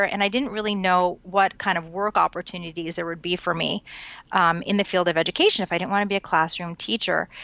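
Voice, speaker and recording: A woman's voice: mid-range voice, creaky, no room sound, highs cut off. A woman in her forties from the New York area whose first language is Japanese, telling her story fluently as an interview guest. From United States, New York tri-state area. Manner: fluent, storytelling, relaxed with light laughs. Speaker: guest, education author and consultant. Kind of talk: conversational interview answer.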